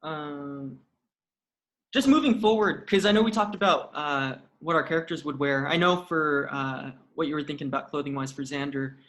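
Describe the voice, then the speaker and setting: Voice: medium pitch, normal speed, fairly bright tone. An actor talking casually in a cast discussion over a video call from home. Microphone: video call microphone.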